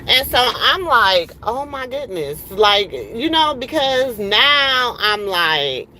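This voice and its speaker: A woman's voice: a little high, big pitch swings, takes it slowly, pushed and bright, rough recording. A middle-aged woman ranting on a casual livestream from a car, heated and rambling with many fillers. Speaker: livestream host.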